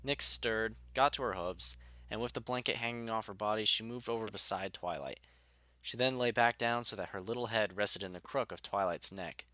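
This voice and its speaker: A man reading scripted story narration as an audiobook narrator, in a measured, articulate way. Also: fairly low pitch, pushed and bright, no room sound.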